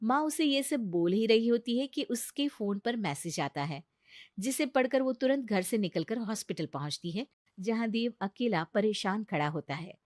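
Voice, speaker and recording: A woman's voice: fairly high pitch, lively intonation, moderate pace, a little mellow, dry recording, studio quality. A woman narrating a scripted story in a dramatic storytelling style.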